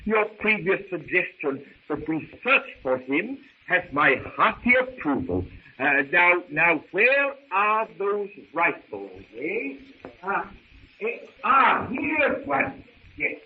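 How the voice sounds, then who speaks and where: An actor playing a fussy, absent-minded elderly professor with hesitant, repetitive, pompous speech in an old-time radio drama. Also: male voice, high voice, big pitch swings, takes it slowly, full character voice. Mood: fussy, dithering. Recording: tinny, hissy vintage radio recording.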